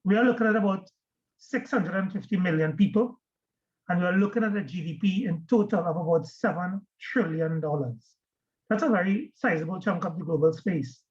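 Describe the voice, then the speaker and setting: A man's voice: high voice, fairly quick, darkish tone. A man from Trinidad and Tobago with a Trinidadian accent, giving a webinar presentation as guest speaker, often repeating words as he starts a phrase. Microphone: video call. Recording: dull lo-fi video call audio.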